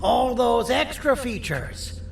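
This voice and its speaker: An eccentric monster doctor from a video game, high-pitched and heavily creaky. He is chatty, boastful and wry, with theatrical excitability. The sound is roomy and a little distant. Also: slow pace, slight background noise, exaggerated voice. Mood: wistful, boastful explaining. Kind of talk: video game cutscene acting.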